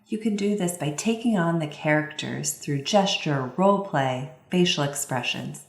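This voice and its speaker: Female voice-over interpreter, lowish register. Measured instructional delivery, clear phrasing, strong room echo. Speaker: voice-over interpreter for a signing presenter. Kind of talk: instructional voice-over translating signed presentation. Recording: roomy, reverberant sound.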